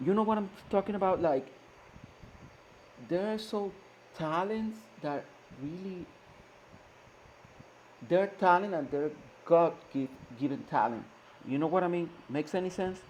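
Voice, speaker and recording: A man's voice: high voice, a little slow, long pauses, a little mellow, a little hiss or hum, poor audio. A man hosting a reaction video, talking earnestly and hesitantly, searching for words with many fillers and restarts. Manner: earnest, admiring. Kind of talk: casual reaction commentary.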